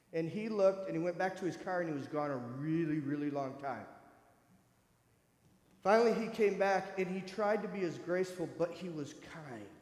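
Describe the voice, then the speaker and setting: Man over fifty, fairly high-pitched, earnest testimony, long reverb in a big church hall. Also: darkish tone. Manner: earnest, conversational, addresses listeners directly. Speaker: speaker at a church service. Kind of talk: personal testimony to a congregation. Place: church sanctuary.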